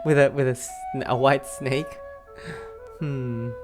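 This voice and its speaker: A man's voice: medium pitch, fairly even intonation, slow. A man casually reacting and musing aloud as he plays and streams a game. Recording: game music behind the voice.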